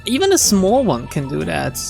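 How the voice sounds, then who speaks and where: Wry male game streamer, slightly high voice. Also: huge pitch swings, moderate pace, vocal fry, a little mellow. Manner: wry, chuckling asides, casual swearing. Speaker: streamer playing a visual novel. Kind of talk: reading game text aloud with casual commentary. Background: game music.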